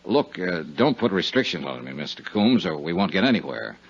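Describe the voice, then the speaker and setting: Hard-boiled, world-weary male investigator, lively inflection, wry and blunt, fifties radio drama with muffled sound. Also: deep voice, a little fast, breathy edge, heavily acted. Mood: businesslike, blunt. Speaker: lead actor. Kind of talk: 1950s radio drama acting. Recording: dull 1950s radio recording, music behind.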